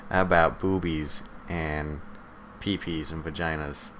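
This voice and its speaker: A man's voice: extremely low pitch, fairly even intonation, slow pace, noisy background. A man co-hosting a podcast in casual chat, with a teasing manner. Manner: teasing, chuckling often. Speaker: podcast co-host.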